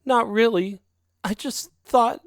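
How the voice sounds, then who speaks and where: Isabel, a man doing a shrill pushy female cat. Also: slow, no room sound, studio quality, heavily acted. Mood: tearful. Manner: whiny and manipulative, breaking into sobs. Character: pushy, dramatic young female alley cat.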